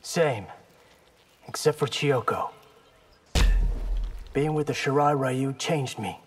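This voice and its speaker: An actor playing a young, wry, defiant fighter in a fighting game. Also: male voice, medium pitch, slow pace, a little hiss or hum, close to an everyday voice. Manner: sardonic, casual.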